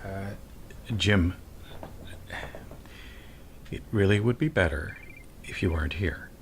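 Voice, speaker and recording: A man's voice: deep voice, slow pace, slight background noise. A man playing a firm executive who is taking over a company, in a radio drama. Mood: uneasy, polite.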